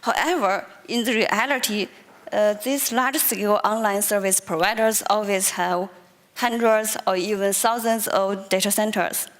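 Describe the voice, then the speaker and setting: A young woman giving a technical conference talk in a large hall at a podium mic, measured and pausing often. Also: medium pitch, fairly even intonation. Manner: measured, with frequent "uh" pauses. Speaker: conference presenter.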